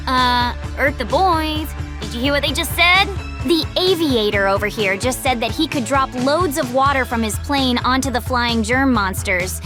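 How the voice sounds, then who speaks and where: A woman playing a sassy, impatient young girl hero in an amateur cartoon comic dub. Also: high-pitched. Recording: music under the voice.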